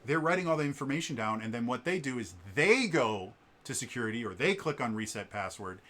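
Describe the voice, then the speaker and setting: A man with a bass voice but a bright, forceful edge to his tone, talking casually to his vlog audience. He explains at length, leaning on fillers and catching on stuttered sounds now and then. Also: very animated, fairly quick. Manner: explanatory, chatty, with many fillers. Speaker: vlogger.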